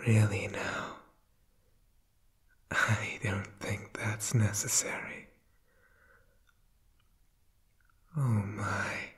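A demonic father in an ASMR horror drama. He whispers very slowly, with a doting, mock-tender softness. Every phrase is sinister and quietly sadistic. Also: on the low side. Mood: mock-tender, menacing.

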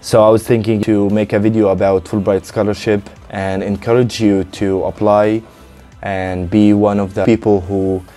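A man's voice: fairly low pitch, level tone, normal speed, few pauses, warm tone, soft background music. A young man in his twenties vlogging to camera from a hotel room on a lapel mic, talking earnestly and plainly. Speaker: vlogger, Fulbright student. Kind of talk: casual vlog talk to camera.

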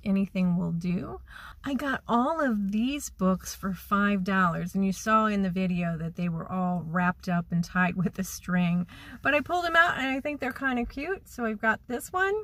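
A woman's voice: mid-range voice, dry recording. A woman in her forties chatting as she shops for vintage items, half-murmuring prices to herself, filmed on a phone held at arm's length. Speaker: vintage reseller vlogger. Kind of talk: casual shop-with-me narration. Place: antique mall, then parked car. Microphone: phone camera at arm's length.

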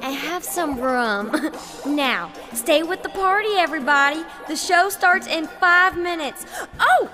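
Woman playing a very high-pitched girlish hostess. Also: lively intonation, a little slow, bright, forceful tone, full character voice. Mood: perky, organising. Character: perky, girlish party-hostess daughter. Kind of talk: sci-fi radio comedy acting. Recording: music behind the voice.

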